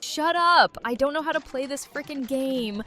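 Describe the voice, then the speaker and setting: A woman's voice: high-pitched, moderate pace, pushed and bright. A woman playing a brash, sarcastic young gamer with blunt, slangy delivery in an audio drama. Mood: exasperated, mocking. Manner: blunt, exasperated slangy talk. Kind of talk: fan audio drama acting.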